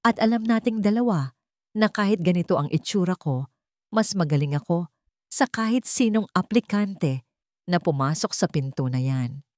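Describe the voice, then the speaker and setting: A woman's voice: fairly low pitch, animated, moderate pace, a little mellow, no room sound, clean studio sound, close to an everyday voice. A woman voicing a proud, desperate young mother in a radio-style story, speaking with firm emphasis. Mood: defiant.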